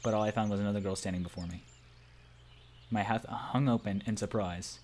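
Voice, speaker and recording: A man's voice: fairly low pitch, fairly even intonation, fairly quick, darkish tone, slight background noise. A man reading a visual novel aloud among friends, narrating informally and tripping over the script now and then. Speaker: narrator of a let's play. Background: faint game music.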